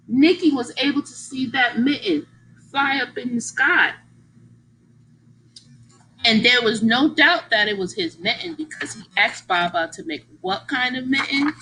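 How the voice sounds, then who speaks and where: Bright, animated woman reading aloud to children. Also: a little high, slight background noise, rough recording. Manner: animated, exaggerated emphasis, engaging listeners with questions. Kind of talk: expressive picture-book read-aloud with questions to young listeners.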